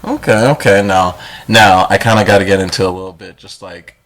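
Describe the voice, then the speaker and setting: A man's voice: low voice, fairly even intonation, a little fast, a bit bright. A man hosting a podcast, talking in a laid-back, casual way with lots of fillers and easy chuckles. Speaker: podcast host. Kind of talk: casual interview chat.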